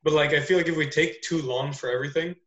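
Brief: man, podcast host running the show, teasing and joking, slightly high voice, echoey room. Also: steady tone, fast talker, a bit bright, muffled recording. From Canada. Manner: joking, teasing, runs the agenda. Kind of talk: casual podcast chat.

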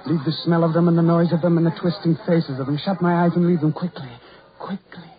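An actor playing a tormented, lonely ugly sideshow man, brooding and dreamy in halting, broken phrases, in the style of 1940s radio horror. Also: fairly high pitch, little pitch movement, close to an everyday voice. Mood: bitter. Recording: muffled vintage 1940s radio recording.

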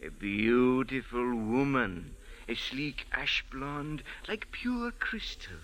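A man's voice: mid-range voice, sing-song, slow pace, fairly bright tone. An actor playing a humble, pleading male sand diviner in a 1940s radio drama. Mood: mystical.

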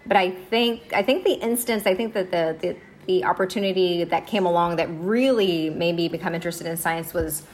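A woman in her forties, a high school science teacher, telling her story in a casual interview with many fillers and easy laughs. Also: moderate pace, few pauses, slight background noise. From United States. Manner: chatty, many fillers and "okay"s, laughs easily.

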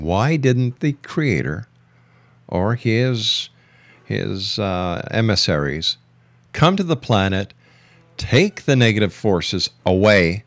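A man's voice: fairly low pitch, no echo. A middle-aged man hosting a radio talk show, speaking insistently and emphatically and repeating phrases for effect. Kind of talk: radio interview with argumentative commentary.

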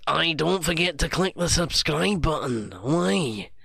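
A man's voice: fairly high pitch, lively intonation, measured pace, creaky, sharp tone, husky, dry recording, studio quality. A man from England chatting casually, swearing and ranting, laughing as he talks. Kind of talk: casual chat.

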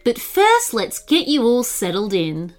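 Woman voicing a gushing, high-pitched storybook aunt. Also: huge pitch swings, measured pace. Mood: affectionate. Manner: gushing, affectionate. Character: warm, affectionate middle-aged aunt welcoming children. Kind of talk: children's storybook character voice.

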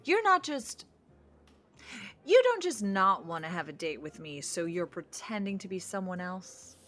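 A young woman waiting on a blind date in an audio comedy. She is needling, nervous and suspicious, with a bright voice that swings widely in pitch. She takes her time even as she rambles. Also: measured pace, faint music behind. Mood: suspicious, flustered. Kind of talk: audio drama acting, romantic comedy dialogue.

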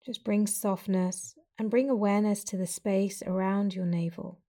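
A woman guiding a breathing meditation with slow, soft, soothing instructions and long pauses. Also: mid-range voice, fairly even intonation. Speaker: meditation guide.

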